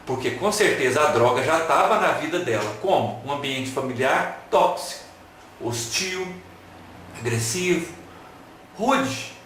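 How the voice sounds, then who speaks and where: A man's voice: medium pitch, measured pace, slight background noise. A man giving a playful lecture, asking his listeners questions and joking with them. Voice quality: animated, playful, asks the audience questions. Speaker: lecturer at an online talk. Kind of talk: lively lecture with jokes and rhetorical questions. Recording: very echoey room.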